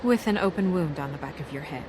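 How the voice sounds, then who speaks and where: An actress playing a composed, dryly concerned sidekick to a sheriff in a noir video game, measured in manner. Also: female voice, low voice, expressive, noisy background. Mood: dry, practical.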